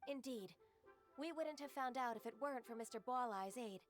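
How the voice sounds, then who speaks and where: A woman voicing a gentle, modest, composed secretary and mentor in a video game, speaking politely and with measure. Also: a little high, natural voice. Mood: calm, pointed. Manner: polite, soft-spoken, measured. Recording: music behind.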